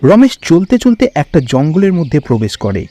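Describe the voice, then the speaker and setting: A man's voice: fairly high pitch, sing-song, a little fast, dark tone. A man narrating a scripted children's fairy tale as storyteller.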